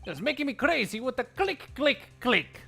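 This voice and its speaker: A haughty European gentleman from a mystery game. The voice is creaky and bright, swinging widely in pitch. He is fussy and impatient, pronouncing things pompously in halting foreign phrases. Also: sing-song, faint music behind, exaggerated voice. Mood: irritated. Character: haughty, impatient European male witness, easily irritated.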